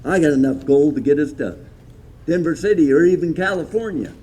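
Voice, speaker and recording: A man's voice: a little high, expressive, brisk, warm tone, slight background noise, close to an everyday voice. A man playing a worried rancher husband in an outdoor Wild West reenactment, plainspoken and folksy. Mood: resolute.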